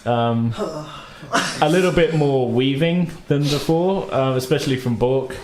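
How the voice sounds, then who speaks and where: A man's voice: medium pitch, measured pace, a crowd in the background. A British man running a tabletop game as game master, talking in an informal, jokey, rambling way. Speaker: game master and podcast host. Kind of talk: casual tabletop game narration. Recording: roomy echo with background noise.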